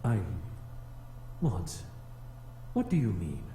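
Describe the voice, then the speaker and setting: A haughty demon speaks slowly and formally in a low voice, full of disdain for mortals. His condescending lines belong to a visual novel game and ring out in a long, noisy reverb. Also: huge pitch swings, dark tone, heavily acted. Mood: affronted.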